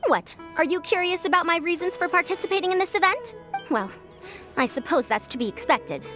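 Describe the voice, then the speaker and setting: Proud female academic elder, game character, high voice, animated intonation, formal, lecturing, self-assured. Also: a little fast. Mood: confident, proud. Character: proud, girlish senior female scholar, confident, patronizing elder. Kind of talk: video game character acting. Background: music.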